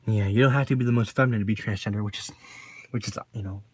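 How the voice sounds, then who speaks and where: A deep-voiced man talking casually to the camera in a personal vlog, rambling and filling his talk with constant "you know"s and repeated phrases. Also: fairly even intonation, quick pace, breathy edge. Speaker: vlogger.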